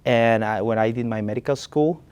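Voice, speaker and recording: A man's voice: medium pitch, darkish tone, smooth. Man. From Ecuador. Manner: earnest, thoughtful, with frequent pauses and fillers. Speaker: neurosurgeon. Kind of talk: interview about his work.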